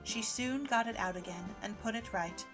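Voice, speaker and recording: A woman's voice: mid-range voice, moderate pace, rough recording. A woman reading scripted storybook narration as the narrator of an audio drama. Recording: music under the voice.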